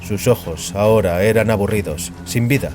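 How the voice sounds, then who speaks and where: A man narrating dramatic fiction in a solemn, measured way. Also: on the low side, over music.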